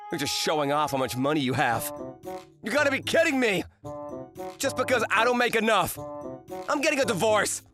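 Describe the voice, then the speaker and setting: A male voice actor as a whiny, self-pitying husband in a manga dub. He is indignant and pleading, and his pitch swings all over. Music plays underneath. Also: very animated, normal speed, pauses often.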